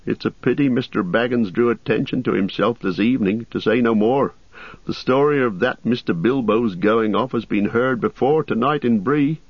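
A man voicing a fussy, excitable middle-aged innkeeper who frets loudly in a raised voice. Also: breathy edge, no echo, dull audio, close to an everyday voice. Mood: flustered. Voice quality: excitable, high and loud, quick to fret.

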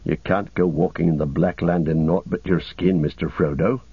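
Loyal hobbit manservant, played by a male reader, humble, homely, practical, husky, in a dull storybook recording. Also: low voice, steady tone, normal speed, no room sound. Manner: deferential, homely rustic phrasing.

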